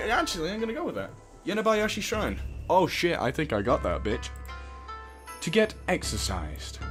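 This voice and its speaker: A man giving casual gameplay commentary and reading game text aloud, with sweary, jokey asides. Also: mid-range voice. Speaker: let's player. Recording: game music behind the voice. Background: game music.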